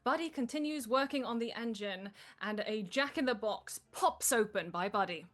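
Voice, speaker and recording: Higher-pitched woman narrating a script. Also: lively intonation, moderate pace. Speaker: narrator reading stage directions. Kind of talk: scripted narration in live table read.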